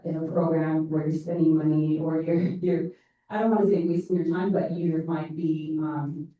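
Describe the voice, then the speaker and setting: A woman speaking on a panel in a measured, somewhat hesitant way with fillers. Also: deep voice. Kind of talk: panel discussion. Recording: tinny, echoey distant hall sound.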